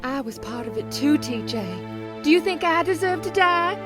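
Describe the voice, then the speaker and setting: Raven, high-voiced guilt-ridden wife. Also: female voice, expressive, normal speed, over music. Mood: guilty, distraught. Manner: emotional, dramatic. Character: Raven, guilt-ridden young wealthy married woman. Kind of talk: audio drama acting.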